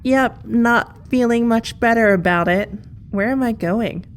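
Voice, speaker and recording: A woman's voice: a little high, measured pace. A woman playing a wary teenage girl hero in an audio drama, casual and dryly sarcastic. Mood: uneasy, sarcastic. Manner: casual, dry, questioning.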